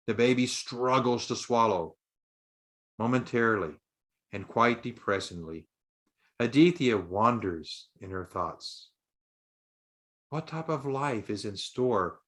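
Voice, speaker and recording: A man reads a scripted story as an audiobook narrator. His voice sits at a medium pitch and moves slowly, with deliberate, dramatic emphasis. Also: fairly bright tone.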